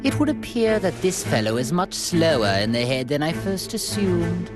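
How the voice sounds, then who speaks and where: A woman's voice: fairly low pitch, big pitch swings, moderate pace. A woman voicing an imperious, condescending alien tyrant in a video game, haughty and coldly polite. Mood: haughty, mocking. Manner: haughty, mocking, formal phrasing. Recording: loud music behind. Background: loud game music.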